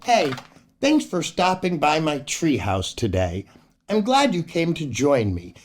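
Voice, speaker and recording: An older American man with a fairly high, very lively voice tells stories to children. He takes his time and addresses his young audience in a theatrical way, with light music behind him. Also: a little slow, noisy background. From United States. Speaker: children's storyteller and show host. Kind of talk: expressive children's story read-aloud.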